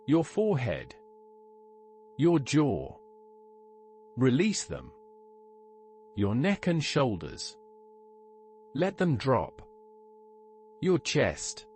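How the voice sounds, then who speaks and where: A man narrating a scripted guided meditation in a measured, instructive way, in short phrases with pauses. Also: medium pitch. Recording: steady ambient hum tone behind. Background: sustained ambient tone.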